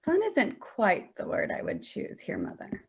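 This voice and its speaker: A woman's voice: lowish voice, wildly swooping pitch, a little slow, vocal fry, husky, exaggerated voice. A woman playing a hurt, sarcastic adult daughter in a staged play reading, dry and confrontational with her mother. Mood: bitter, resigned.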